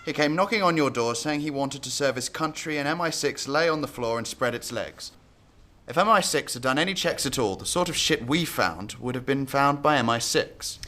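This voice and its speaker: A man's voice: medium pitch, expressive, moderate pace, pushed and bright. A man acting a blunt, scornful security service chief in a radio drama, sarcastic.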